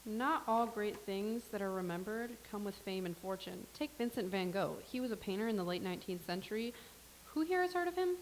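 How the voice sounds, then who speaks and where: A faintly hissy stage recording of a knowing time traveler played by a woman. She is patient and instructive, with a medium-pitched voice. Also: expressive, fairly quick, natural voice, low-quality recording. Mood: explanatory. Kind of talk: staged play reading, comedic. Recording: faint hiss and background noise.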